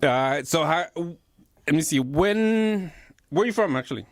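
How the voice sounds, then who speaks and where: A man hosting a casual talk show, joking and teasing his guest. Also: a little slow. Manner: joking, teasing, lively pitch. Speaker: show host. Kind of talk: casual interview chat.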